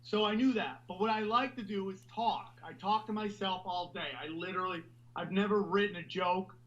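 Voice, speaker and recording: Man, high bright voice, loud rambling podcast talk, muffled lo-fi sound. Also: steady tone, brisk, slight background noise. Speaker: podcast host. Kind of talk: casual podcast chat.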